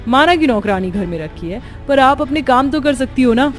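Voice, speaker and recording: A woman's voice: sits high, lively intonation, normal speed, soft background music. A woman voicing a reproachful, nagging young sister-in-law in a cartoon.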